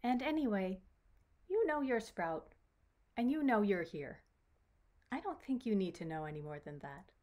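A woman's voice: mid-range voice, expressive, normal speed, pauses often, lo-fi sound, close to an everyday voice. A woman voicing a kind, carefree female winged creature in a children's story, with a reassuring manner.

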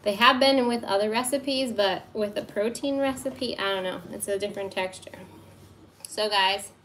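A woman's voice: mid-range voice, normal speed, fairly bright tone, a little hiss or hum. A woman in her thirties chatting and giggling while she bakes at her kitchen table, talking into a phone camera during a livestream. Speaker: livestream baking host. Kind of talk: casual chat while baking. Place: home kitchen table, indoors.

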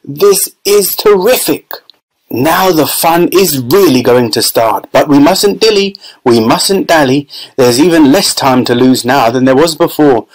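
A man voicing an excitable, whimsical candy maker who talks in giddy, exclamatory bursts. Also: a little high, unhurried, low-quality recording. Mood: delighted.

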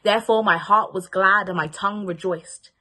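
A young woman reads from scripture in a husky, middle-register voice. She is recorded at home on a phone or laptop. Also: mid-range voice, moderate pace, bright, forceful tone. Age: young adult. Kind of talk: scripture reading. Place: room at home.

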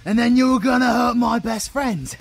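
A man's voice: extremely high pitch, normal speed, creaky, reverberant, faint music behind. A British man playing a foul-mouthed, fiercely protective fighter in an audio roleplay, blunt and emotional. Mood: defiant rage.

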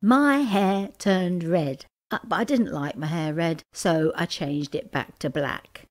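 A woman voicing an excitable, gullible schoolboy with emphatic exclamations in a storybook reading. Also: fairly low pitch, takes it slowly. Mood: boastful. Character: excitable gullible schoolboy, loudly exasperated, later slyly boastful.

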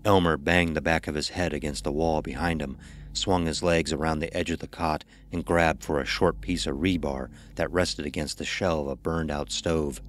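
A man reading scripted horror narration in a measured, ominous storytelling manner. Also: a bass voice, steady tone, a little hiss or hum.

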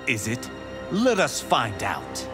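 An actor voicing a cocky young action hero in a video game, amused, brash and teasing. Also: male voice, medium pitch, heavily acted. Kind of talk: video game fight banter.

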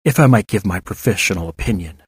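Courteous male doctor, voiced by a narrator, formal, cautious, measured, audiobook character, very dry sound. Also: on the low side, expressive, breathy edge, no echo. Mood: calm caution. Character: soft-spoken, polite, cautious male doctor in disguise.

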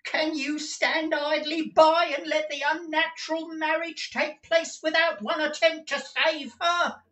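A reader voicing an agitated, eccentric older male clerk who stammers and pleads in a slow audiobook reading. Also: high voice, steady tone, bright, forceful tone, distant mic, voice pushed up. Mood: frantic. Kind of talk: audiobook character voice.

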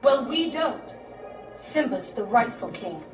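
A woman's voice: a little high, big pitch swings, takes it slowly. A woman voicing a questioning lioness in a cartoon fan dub. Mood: concerned. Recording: distant roomy playback, faint music.

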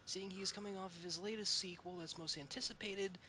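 A man with a moderately high voice speaks as a podcast panelist in casual comic banter. The recording has clear background noise. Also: fairly even intonation, brisk, sharp tone.

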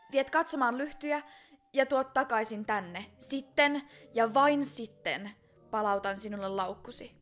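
A woman acting young cartoon princess, high voice, suspicious, determined, emphatic deliberate delivery, music underneath. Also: lightly acted. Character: wary, determined sheltered young fairy-tale princess. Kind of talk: fan-dubbed animated film acting.

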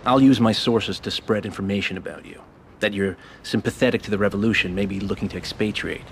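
Low-voiced calculating spy. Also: male voice, steady tone, brisk, creaky. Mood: confident. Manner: matter-of-fact, confident. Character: smooth-talking calculating intelligence agent laying out scheme. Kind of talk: video game cutscene acting. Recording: faint rumbling background noise.

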